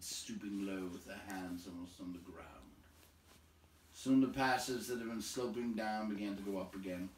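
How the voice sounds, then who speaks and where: A man reading a story aloud in an expressive, deliberate, dramatic narration. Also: fairly low pitch. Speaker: storybook reader. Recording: roomy, distant, faint background noise.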